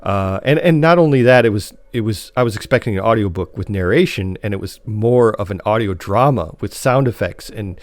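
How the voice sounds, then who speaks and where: A man co-hosting a podcast, chatting casually through a review in a hesitant, rambling, conversational way. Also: lowish voice. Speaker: podcast co-host reviewing post-apocalyptic media.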